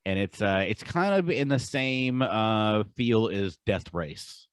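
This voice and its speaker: A man with a fairly low voice talks casually as a podcast co-host. He is enthusiastic and leans hard on his favorite words. The sound is very dry, with no room around it. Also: lively intonation, takes it slowly, clear, resonant tone, dry recording. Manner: enthusiastic, emphatic.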